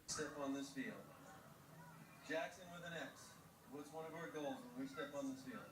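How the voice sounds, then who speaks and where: A man's voice: a little high, steady tone, normal speed, far from the mic, noisy background. A man coaching a youth baseball team, firm and clipped as he quizzes the kids. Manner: drill-sergeant-like pep talk. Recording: roomy video played back from afar.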